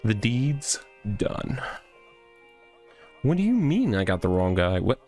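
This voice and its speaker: Boastful game tough guy, acted by a man: pompous, gravelly, drawling out each grand phrase, faint music underneath. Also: medium pitch, big pitch swings, a little mellow. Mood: flustered. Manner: pompous, theatrical, drawn-out delivery. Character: smug, boastful cartoon tough guy in a box. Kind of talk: visual novel character read aloud. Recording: music behind the voice.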